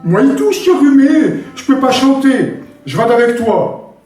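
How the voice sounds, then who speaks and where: A man doing a hoarse squawky rooster. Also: extremely high pitch, sing-song, moderate pace, a little mellow, faint music behind, voice pushed up. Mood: complaining. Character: hoarse cold-stricken rooster in a folk tale. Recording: very echoey hall from far off.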